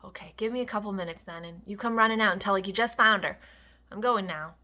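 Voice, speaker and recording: A woman's voice: mid-range voice, fast talker, sharp tone, close to an everyday voice. A woman reading the lines of a worried, protective ranch worker, resigned and urgent in turn.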